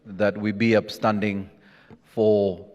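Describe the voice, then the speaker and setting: A fairly deep-voiced man hosts a formal ceremony. He announces in a courteous, ceremonious way. His voice carries long reverb from a big hall. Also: flat delivery, slow pace, darkish tone, highs cut off, faint music behind. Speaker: master of ceremonies. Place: large conference hall.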